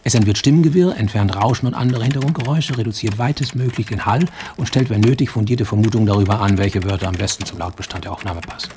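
A man's voice: lowish voice, fast, few pauses, noisy background, close to an everyday voice. A man playing an enthusiastic retro-technology expert in a radio play, explaining things knowingly. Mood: absorbed, explaining.

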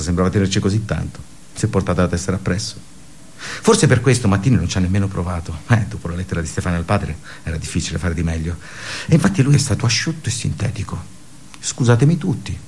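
A sardonic, brooding office worker with a lowish voice. He delivers a theatrical radio monologue, weighing his words with pauses and bitter emphasis. There is some faint noise and ambience behind him. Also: gravelly, a little mellow.

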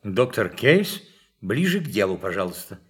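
Husky, impatient scientist. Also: male voice, on the low side, sing-song, moderate pace, heavily acted. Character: impatient, dismissive male scientist. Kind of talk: radio play acting.

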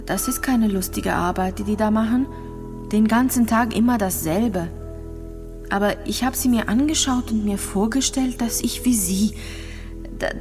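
An actress playing a gravely ill, lonely young woman in a radio drama, speaking haltingly as she recalls things and repeats herself. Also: medium pitch, close to an everyday voice. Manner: halting, searching, repeats phrases. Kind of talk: radio drama monologue, intimate recollection. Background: music.

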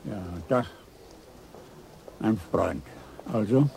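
A man's voice: fairly low pitch, animated, extremely slow, vocal fry, a little mellow, slight background noise, exaggerated voice, rough recording. An actor playing a curt, suspicious older father in a radio crime drama. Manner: curt, wary. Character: gruff, suspicious father of a grown daughter.

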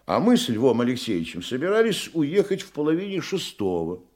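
An actor playing a talkative, cultured provincial hotel guest in a Russian radio play, exclamatory and slightly pompous. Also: male voice, medium pitch, huge pitch swings, a little fast, darkish tone. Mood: earnestly explaining.

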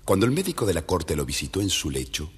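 Low, husky Argentine man, animated fairy-tale narration, theatrical, reverberant record. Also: fast. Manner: expressive, theatrical. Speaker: storyteller. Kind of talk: scripted children's story narration.

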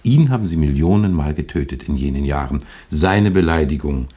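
An actor playing an earnest, courteous priest in a German radio drama, speaking in a deliberate, rhetorical and insistent way. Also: male voice, very deep voice, animated, mellow, slightly rough, heavily acted. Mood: fervent, insistent.

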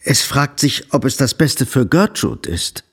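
A puritanical old father in a radio play. His voice is husky and animated, and his manner is formal, disapproving and easily bewildered. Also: mid-range voice, unhurried, exaggerated voice. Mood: stern. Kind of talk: radio drama acting.

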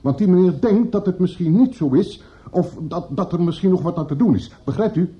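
A man's voice: high voice, animated, brisk. An actor playing an obliging, down-to-earth male barkeeper in a radio play, speaking plainly and with concern. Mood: busy, coaxing. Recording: dull, noisy old radio play recording.

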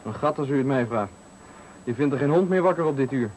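An actor playing a sly, prying stranger in a radio thriller, speaking in a measured, insinuating way. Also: male voice, medium pitch, animated, a little mellow, lightly acted. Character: prying, sly stranger at a night bus stop. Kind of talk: 1980 Dutch radio thriller acting. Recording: noisy 1980 radio play recording.

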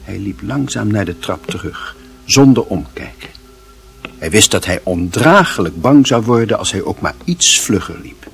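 A man's voice: lowish voice, big pitch swings, measured pace, creaky, a bit bright, soft background music. A man reading literary fiction as a narrator, in a dramatic, scripted storytelling style.

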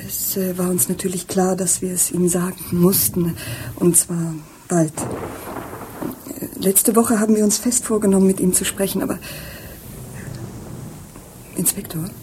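A woman's voice: fairly low pitch, steady tone, measured pace, pauses often, mellow, a little hiss or hum, close to an everyday voice. An actress playing an anxious married woman confiding a secret in a German radio drama, speaking hesitantly.

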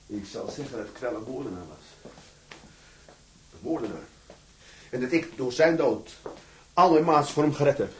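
A man's voice: mid-range voice, noisy background, close to an everyday voice. An actor playing an imprisoned seaman, a righteous and obsessive self-styled martyr, in a vehement, declamatory drama style. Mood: fervent. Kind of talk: dramatized radio or audio drama acting.

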